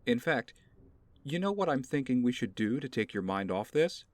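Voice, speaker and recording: An actor plays an easygoing, doting father in an audio drama. His male voice swings widely in pitch, gentle and playfully coaxing. The recording is dry. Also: big pitch swings, moderate pace, no echo, lightly acted. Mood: coaxing, comforting.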